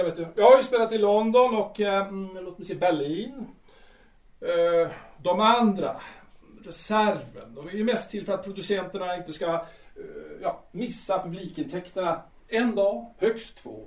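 A man's voice: sits high, animated, recorded from a distance. An actor playing a vain, boastful male stage star who rambles with many hesitations, in an audio drama. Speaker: star singer-actor Peter. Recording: echoey room, voice often off-mic.

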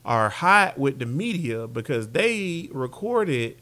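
A man in his twenties from Jacksonville, co-hosting a casual sports podcast, joking, laughing and loudly quoting famous soundbites. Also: mid-range voice, very animated, slow pace. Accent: Jacksonville, Florida. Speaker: podcast co-host.

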